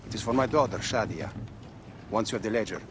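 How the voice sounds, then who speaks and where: Guy playing a wary hunted dad turned rebel, deepish, serious game drama, lots of background noise. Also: fast, natural voice. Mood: grave, guarded. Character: wary, hunted Egyptian rebel husband and father. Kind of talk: dramatic video game cutscene acting. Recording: clearly noisy outdoor-style ambience.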